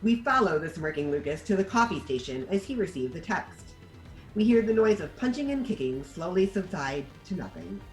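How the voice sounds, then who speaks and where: Low-voiced, animated woman narrator. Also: moderate pace, faint music behind, low-quality recording. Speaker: narrator reading stage directions. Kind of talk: scripted screenplay narration.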